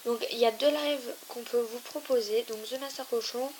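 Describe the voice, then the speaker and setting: A woman's voice: fairly high pitch, moderate pace. A young woman hosting a casual gaming video, rambling and teasing, trailing off with repeated fillers. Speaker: gaming YouTuber. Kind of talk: casual let's-play chat. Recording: hissy home recording. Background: steady hiss.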